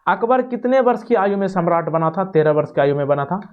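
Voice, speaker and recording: A man's voice: high voice, animated, brisk, clean tone. A man teaching a quiz lesson, reading out questions, rephrasing them and giving answers in an explanatory way. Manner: explanatory, repeats and rephrases each question, checking tags. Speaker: exam-prep teacher. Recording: dull, lo-fi sound.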